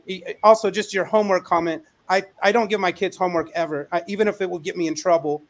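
Higher-voiced middle-aged man, emphatic co-host. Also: fairly even intonation, fast talker, gravelly. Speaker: co-host, educator. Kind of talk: conversational panel hosting. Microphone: video call.

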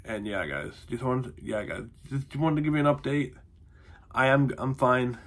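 A man's voice: mid-range voice, moderate pace, a bit bright. A young man in his twenties with a stuffy, congested voice, rambling casually to his viewers from a small room at home, full of fillers. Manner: rambling, heavy on fillers and "honestly". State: congested, nasal from a cold. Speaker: vlogger. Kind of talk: casual vlog update. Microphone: phone or webcam close to the face.

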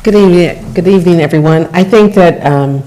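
A woman opens a course proposal presentation at a school board meeting in a bright, friendly way. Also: lowish voice, a little fast, poor audio.

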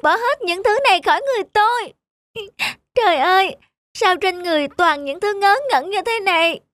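A woman's voice: extremely high pitch, moderate pace, a bit bright, no room sound. A woman voicing a fretful, tearful little-sister peach sapling in a cartoon, whining and complaining. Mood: annoyed whining. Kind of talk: animated cartoon dubbing.

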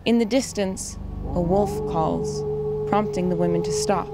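A woman's voice: fairly low pitch, unhurried, loud music behind the voice. A woman reading scripted narration and stage directions for an audio drama.

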